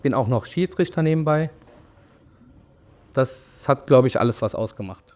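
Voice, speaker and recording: A man's voice: mid-range voice, animated, moderate pace, a little mellow, a little hiss or hum. A young man from Syria, a shop owner and referee, speaking reflectively in a documentary interview with fillers and restarts.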